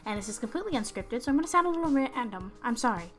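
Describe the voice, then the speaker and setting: A young woman with a hoarse, raspy voice, still recovering from being sick, rambling unscripted in a vlog and laughing often. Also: fairly high pitch, very animated, very fast. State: hoarse after illness. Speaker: video creator. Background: loud music.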